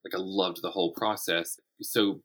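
A man's voice: low-pitched, fairly even intonation, moderate pace, a bit bright. A man talking casually as an interview guest, a writer who tells his stories by acting out imagined remarks. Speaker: guest author and playwright. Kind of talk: casual interview chat about writing.

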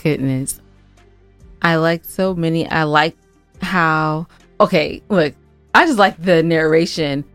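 A woman's voice: low-pitched, slow, long pauses, fairly bright tone, clear, resonant tone, dry recording, faint music behind. A woman co-hosting a podcast in casual conversation, speaking expressively and with emphasis. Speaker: podcast co-host and audio drama writer.

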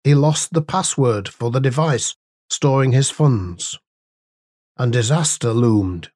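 Slow, measured man reading a devotional. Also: medium pitch, darkish tone, no room sound, clean studio sound. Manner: measured, deliberate phrasing with pauses. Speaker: devotional reader and host. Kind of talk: scripted devotional reading with scripture and prayer.

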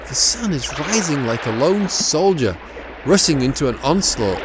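A man playing a grieving, weary old man alone by the sea in an audio drama, speaking in a halting, anguished, theatrical way. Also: on the high side, background noise, close to an everyday voice. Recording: music and water sounds behind voice.

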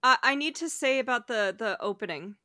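A woman's voice: fairly high pitch, lively intonation, fairly quick, bright, forceful tone, no room sound, clean studio sound. A woman co-hosting a film podcast, talking bluntly and expressively. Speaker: podcast co-host. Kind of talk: casual chat.

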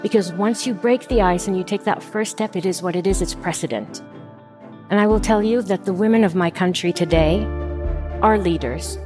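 A woman's voice: mid-range voice, normal speed, over music. A middle-aged woman from Saudi Arabia telling her story as an interview guest, candid and emphatic.

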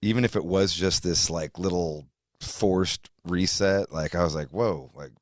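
A man's voice: low-pitched, a little slow, dry recording, clean studio sound. A man in his fifties hosting a podcast, chatting loosely and rambling with lots of "like" and "you know". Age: 50s. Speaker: podcast host. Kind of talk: casual interview chat.

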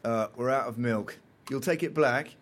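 An actor playing a dry, resigned office worker with a terse, deadpan manner in an audio drama. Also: male voice, medium pitch, normal speed, slight background noise, lightly acted. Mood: resigned, flat.

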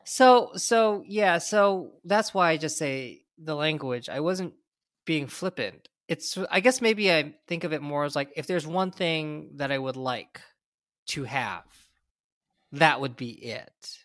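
A man with an American accent chatting as a podcast co-host, often halting and rephrasing himself as he goes. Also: a little high, animated, a bit bright, dry recording, clean studio sound. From United States. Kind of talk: casual conversational discussion.

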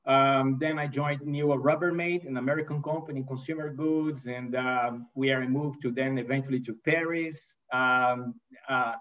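A man's voice: medium pitch, recorded from a distance, lo-fi sound. A man in his fifties from Brazil with a Brazilian Portuguese accent, a non-native English speaker and manufacturing executive, answering interview questions hesitantly with many fillers and restarts.

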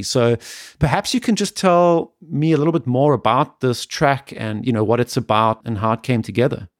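A man's voice: on the low side, no room sound. Polite male interviewer, dry sound. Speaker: interviewer, host. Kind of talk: music interview, prepared questions.

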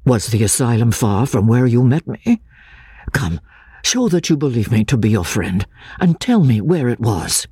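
A woman reader voices a careful, sincere gentleman in a classic audiobook. The delivery is measured and reassuring, with a dark, husky tone and lively intonation, very close and dry. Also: a bass voice, dry recording, heavily acted. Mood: gently coaxing. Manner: measured, deliberate, persuasive. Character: earnest, cautious gentleman narrator, gently coaxing and reassuring. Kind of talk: dramatic audiobook reading of classic literature.

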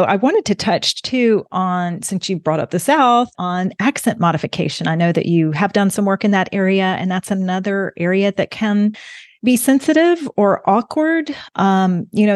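A woman's voice: medium pitch, moderate pace, dry recording, clean studio sound. A woman from Alabama hosting a podcast interview, conversational and encouraging as she asks her guest questions. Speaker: podcast host.

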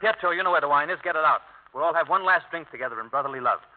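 An actor playing a tough, sardonic, embittered man with a husky voice and clipped talk in a 1950s radio drama. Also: on the high side, lively intonation, very fast, natural voice. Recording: narrow, lo-fi old radio broadcast.

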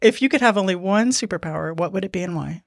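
A woman hosts an interview podcast in a mid-pitched voice, reacting with eager agreement. The sound is dry and close to the mic. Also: animated, creaky, dry recording. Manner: enthusiastic, quick to agree. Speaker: podcast host. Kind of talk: casual interview.

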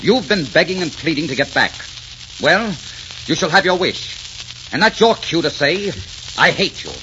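A crackly, muffled old radio recording carries a man acting as a proud, hot-tempered desert sheik. He gives orders imperiously and teases with assurance, his pitch swinging widely in true 1940s romantic style. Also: animated, normal speed, close to an everyday voice. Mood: grave, bitter. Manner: imperious, teasing, clipped commands.

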